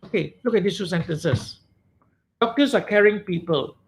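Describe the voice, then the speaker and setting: Malaysian English male lecturer, high register, emphatic and repetitive, keeps asking "okay?". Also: lively intonation, moderate pace, creaky, slight background noise. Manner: emphatic, repeats key words, frequent "okay?" checks. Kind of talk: webinar grammar lesson.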